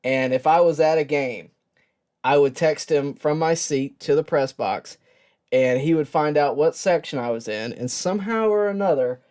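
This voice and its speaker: A man hosting a sports show, giving a personal tribute in a reflective, earnest and halting way with pauses. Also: fairly high pitch, darkish tone. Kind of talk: personal tribute talk to camera.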